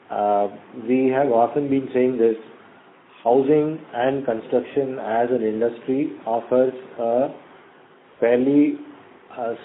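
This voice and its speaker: A middle-aged man, a company executive answering analysts' questions on an earnings call in a measured, hesitant way with many fillers. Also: mid-range voice. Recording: phone line, faint noise.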